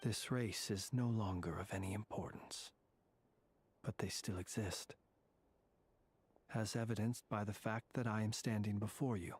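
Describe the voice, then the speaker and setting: Man playing a weary, courteous fae gentleman, game dialogue. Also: on the low side, fairly even intonation, slight background noise, close to an everyday voice. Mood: solemn. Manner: formal, measured, eloquent phrasing. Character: weary, wry, courteous male fae lantern keeper. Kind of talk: video game voice acting.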